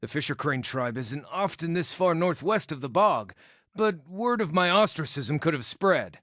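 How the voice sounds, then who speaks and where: An actor voicing a small, scarred outcast druid in a video game, wry, cheeky and theatrical, with a wordy way of talking. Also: male voice, fairly high pitch, animated, moderate pace, fairly bright tone, no room sound, clean studio sound. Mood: uneasy, rueful. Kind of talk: video game character dialogue.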